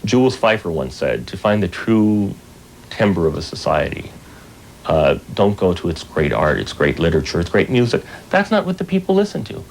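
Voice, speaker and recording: A man's voice: sits low, very animated, normal speed, gravelly, noisy background. A man giving earnest, declamatory commentary on culture.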